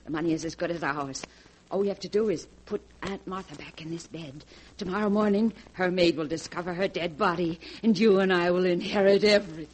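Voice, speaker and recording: A woman's voice: fairly low pitch, brisk, natural voice, low-quality recording. A woman in 1940s radio acting, playing a cold, scheming young actress talking conspiratorially. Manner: conspiratorial, contemptuous.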